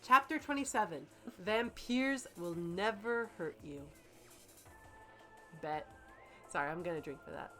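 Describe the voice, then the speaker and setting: A woman's voice: lowish voice, lively intonation, measured pace, pauses often, bright, forceful tone. A woman co-hosting a casual comedy stream, bantering and critiquing in a sardonic, mock-exasperated way. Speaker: guest co-host. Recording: background music behind the voice. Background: music.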